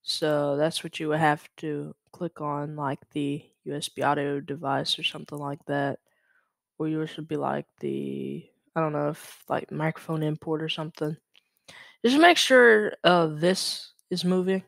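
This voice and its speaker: A young man from Louisiana hosting a casual, unscripted screen-share tutorial, halting and correcting himself as he goes. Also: on the high side, fairly even intonation, gravelly, fairly bright tone, no echo. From Louisiana, United States. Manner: hesitant, halting, self-correcting. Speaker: tutorial host. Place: indoors at a computer. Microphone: USB microphone.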